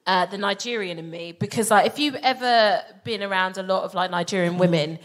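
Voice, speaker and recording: A woman's voice: mid-range voice, brisk. A British woman co-hosting a live comedy podcast, brash and teasing. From Britain. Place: live show before an audience.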